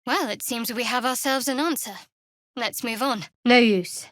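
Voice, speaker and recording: A woman performs a young female cleric from a fantasy video game, guarded and sardonic. Her voice sits a little high, with a dry, measured delivery. The sound is very dry, free of room echo. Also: a bit bright, close to an everyday voice.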